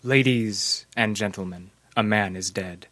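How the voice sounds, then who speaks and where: A man narrating an old-time radio horror show as host, measured and solemn with dramatic pauses. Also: lowish voice, a bit bright, no room sound. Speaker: announcer, host.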